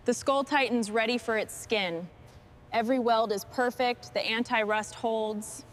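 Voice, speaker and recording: A woman's voice: on the high side, fairly even intonation, measured pace, slight background noise. A woman leading a workshop team, giving short, encouraging instructions and comments as the work goes. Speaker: team boss in a truck restoration workshop.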